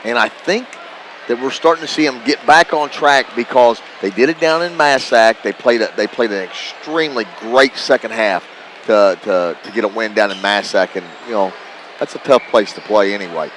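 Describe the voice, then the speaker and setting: A man's voice: lowish voice, moderate pace, a bit bright, slight background noise. A man giving live sports commentary as a radio analyst, plain-spoken and folksy in a conversational way. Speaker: radio broadcast partner, analyst. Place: corner perch in a school gymnasium. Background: gym ambience, bouncing basketballs.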